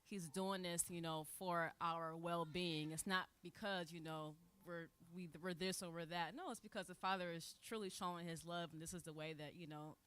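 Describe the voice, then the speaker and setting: A woman taking part in a Bible study, reflecting informally and earnestly, haltingly repeating phrases for emphasis. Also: lowish voice, fairly even intonation, fairly bright tone, clean tone.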